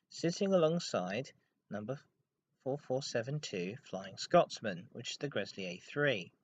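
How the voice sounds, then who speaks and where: A man hosting a hobby podcast, narrating history in an informal, scripted way. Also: fairly low pitch. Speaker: podcast host. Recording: dull lo-fi home recording.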